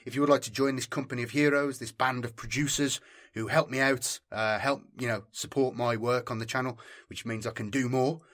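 A man's voice: mid-range voice, steady tone, a little fast, fairly bright tone. A man hosting a channel, chatting casually and unscripted to his viewers in a rambling, informal way. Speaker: channel host.

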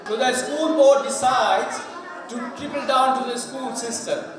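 A man's voice: very high voice, unhurried. A man addressing a community event crowd in a school gym, emphatic and rallying. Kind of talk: public speech at an event. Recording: echoey hall from far off with crowd.

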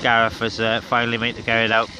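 A man chatting casually over CB radio from a parked car on open moorland, relaxed with lots of fillers. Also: medium pitch, fast talker, noisy background. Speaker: CB radio operator and vlogger. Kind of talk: casual on-air radio chat.

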